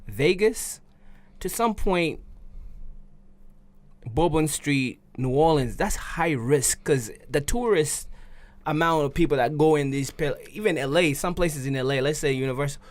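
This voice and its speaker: A man's voice: fairly high pitch, expressive, measured pace. Thirty-year-old man in casual discussion. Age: around 30. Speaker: podcast host.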